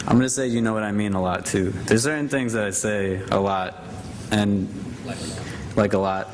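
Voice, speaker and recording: A man's voice: lowish voice, steady tone, moderate pace, slight background noise. A man moderating a conference panel on stage, speaking casually with a self-deprecating humor and plenty of fillers.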